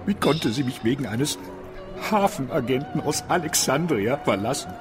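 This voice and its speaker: A man's voice: medium pitch, lively intonation, normal speed, music in the background, natural voice. An actor playing a jilted, vengeful man in a melodramatic radio drama style. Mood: wounded, vengeful.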